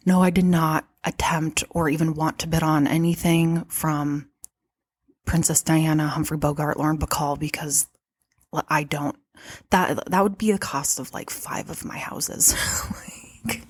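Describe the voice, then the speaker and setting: Low husky stuffed-up woman chatting. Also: no echo. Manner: chatty, laughs often between remarks. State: stuffed-up nose from allergies. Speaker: podcast host and vintage shop owner. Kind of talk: casual podcast monologue. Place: behind a shop counter.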